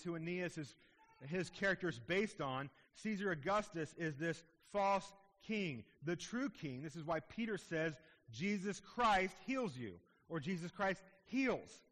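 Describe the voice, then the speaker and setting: A man preaches with a high-pitched voice in a teaching tone, laying out a scripture passage point by point and checking in with his listeners. His voice rings with the long reverb of a large hall. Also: lively intonation, a little slow, fairly bright tone. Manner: teaching, explanatory with frequent "okay?" asides. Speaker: pastor. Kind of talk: sermon.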